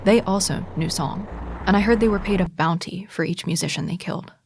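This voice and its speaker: An actress playing a frightened young woman musician on the run, telling her story in a hushed, measured, tense way in an audio drama. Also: fairly low pitch, vocal fry, slight background noise. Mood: tense, grave. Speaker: narrator and lead character. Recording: music bed under voice.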